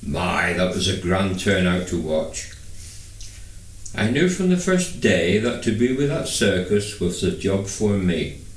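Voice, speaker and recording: A man's voice: low voice, sing-song, a little slow. A man reading a first-person novel aloud as scripted narration. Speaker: audiobook reader. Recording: echoey room, distant microphone.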